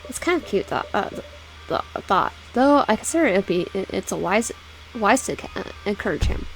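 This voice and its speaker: A woman's voice: mid-range voice, animated, brisk, a little hiss or hum, poor audio. A woman casually reading a visual novel game aloud with personal asides, often stumbling over words and repeating phrases. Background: background music.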